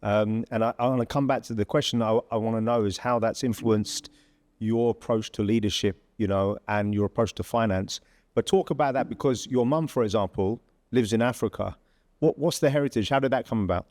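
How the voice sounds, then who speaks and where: Low-voiced male podcast host. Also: brisk, a little mellow, no echo. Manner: conversational, asks long questions. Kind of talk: podcast interview.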